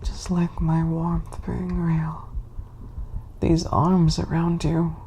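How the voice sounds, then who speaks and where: A woman voicing a possessive, soft-spoken yandere bunny boy in a breathy, coaxing ASMR whisper. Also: low-pitched, completely flat delivery, a little hiss or hum. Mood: tender, possessive. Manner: slow, soothing, possessive. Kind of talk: ASMR roleplay.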